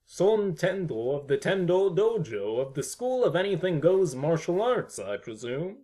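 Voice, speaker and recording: A man's voice: fairly high pitch, sing-song, measured pace, warm tone. A man playing a mysterious, knowing tenant with a formal, theatrical, wordy manner in an audio drama. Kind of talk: fan audio drama acting.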